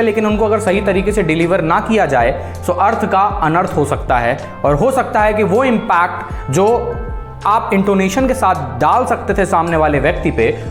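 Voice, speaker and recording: An Indian man teaches a public speaking class with a very animated, rising and falling delivery. He is emphatic and encouraging, mixing Hindi with English. The room is echoey, with faint music underneath. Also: high-pitched. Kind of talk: classroom lesson with demonstrations. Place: classroom in front of students. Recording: roomy echo with faint music.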